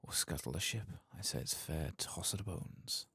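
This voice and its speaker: A man voicing a jovial old pirate freebooter from a game in soft-spoken pirate dialect. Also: deep voice, animated, normal speed, vocal fry, slightly rough, no echo, heavily acted.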